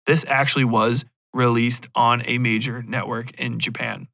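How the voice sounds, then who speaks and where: A man's voice: medium pitch, normal speed, gravelly, no echo. A man hosting a podcast, talking in a casual, rambling way with blunt, irreverent swearing and joking asides. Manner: animated joking asides, acting out imagined remarks. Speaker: podcast host. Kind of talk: casual rambling review talk.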